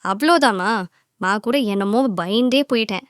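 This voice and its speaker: A woman voicing an impatient, expressive young girlfriend in an animated moral story. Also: mid-range voice, normal speed, clear, resonant tone, no room sound, studio quality, natural voice. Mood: concerned. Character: impatient young woman scolding and consoling her boyfriend.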